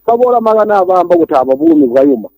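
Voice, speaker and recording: A man's voice: sits high, big pitch swings, very fast, mellow, no room sound, poor audio. A man with a Zambian accent calling a radio phone-in, mixing English with his mother tongue as he lists complaints. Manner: switches between English and Tonga, urgent complaints. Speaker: caller. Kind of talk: phone-in call on politics. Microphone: phone line.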